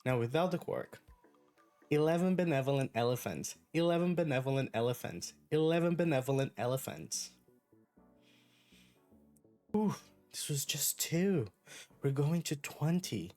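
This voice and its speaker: A man whose first language is Brazilian Portuguese, giving an online English pronunciation lesson in a clear, deliberate, encouraging way. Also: fairly high pitch, animated, darkish tone, soft background music. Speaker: English teacher.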